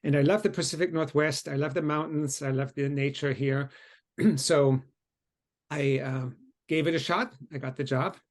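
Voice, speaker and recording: A middle-aged man from Germany, a biology professor speaking on a Q&A panel in a relaxed way, clearing his throat now and then. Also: a little high, normal speed. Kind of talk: panel Q&A introduction.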